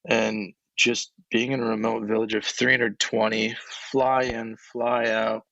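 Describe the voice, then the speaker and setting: A young man, an ed tech coach, answering interview questions casually in a monotone voice, with many fillers. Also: fairly low pitch, unhurried, gravelly, dry recording, lo-fi sound. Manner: relaxed, filler-heavy, laughs between thoughts.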